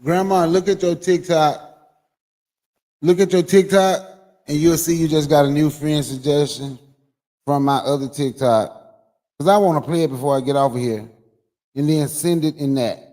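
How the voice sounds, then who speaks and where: A man's voice: on the high side, moderate pace, long pauses, dark tone. A man hosting a casual livestream, talking loosely into an old phone and checking whether his guests can hear him. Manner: repeatedly checking audio with guests, loose and conversational. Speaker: livestream host.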